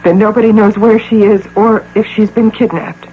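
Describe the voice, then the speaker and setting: A woman's voice: mid-range voice, steady tone, moderate pace, close to an everyday voice. Through a tinny, hissy old radio comes an actress playing an imperious middle-aged woman executive. She is curt and proud, with intense, desperate feeling in the manner of a radio drama. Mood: anxious, impatient. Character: imperious, high-powered businesswoman desperate over her missing imagined daughter. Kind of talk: 1980 radio drama acting.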